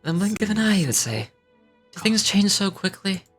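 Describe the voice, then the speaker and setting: A man's voice: sits high, big pitch swings, moderate pace, fairly bright tone, soft background music. A man playing a worried, stubborn middle-aged father and tea merchant in video game dialogue, speaking earnestly and wistfully.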